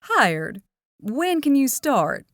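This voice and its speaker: A woman's voice: high-pitched, sing-song, drawn-out words, a bit bright, no room sound, clean studio sound, pitched above the performer's own voice, full character voice. A woman doing a chatty diner lady. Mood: folksy. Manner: chatty, folksy, motherly. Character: warm-hearted Southern diner owner, older woman.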